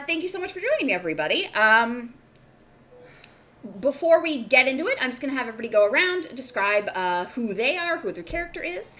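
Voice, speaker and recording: Game master, woman: playful host, animated delivery, somewhat high. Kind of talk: hosting a tabletop game.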